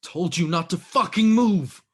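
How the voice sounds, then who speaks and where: A man's voice: high voice, a little slow, noisy background. A man playing an aggressive, foul-mouthed police officer in a scripted table read, barking his words. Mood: furious, threatening. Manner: barking, profane commands. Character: aggressive male cop, foul-mouthed, panicky and self-justifying.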